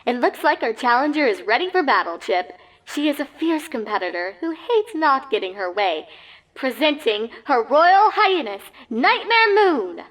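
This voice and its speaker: A woman voicing showy, over-excited cartoon pony sports announcers in grandiose mock commentary. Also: high voice, voice pushed up, poor audio. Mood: grand. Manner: grandiose, drawn-out introductions.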